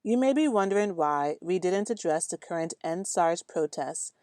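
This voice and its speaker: A woman host from Nigeria, interviewing and then reading a measured, academic narration. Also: on the low side, expressive, darkish tone, dry recording, clean studio sound. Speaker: podcast host, researcher.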